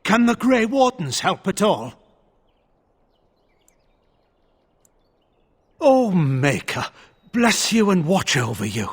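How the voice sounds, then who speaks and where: An actor playing an anxious merchants' guild official in a video game, husky, earnest, fretful and deferential. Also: male voice, high-pitched, very animated, unhurried, full character voice. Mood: pleading gratitude. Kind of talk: video game character acting.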